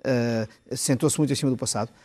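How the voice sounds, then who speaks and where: A man, arguing as a commentator in a televised panel debate, talking quickly with many restarts and repeated words. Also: mid-range voice, steady tone, a little mellow. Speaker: political commentator.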